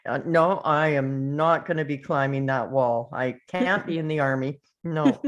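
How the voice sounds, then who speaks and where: Woman, slow pace, casual, light-hearted, prone to laughing, conversational. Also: deep voice, lo-fi sound. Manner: light, laughs often while talking. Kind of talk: casual group chat.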